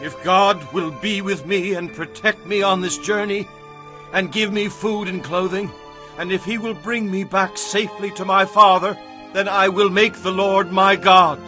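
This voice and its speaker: Bright-voiced, earnest young biblical herdsman. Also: sits high, fairly even intonation, a little slow, faint music behind. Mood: solemn, fervent. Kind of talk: dramatized audio Bible acting.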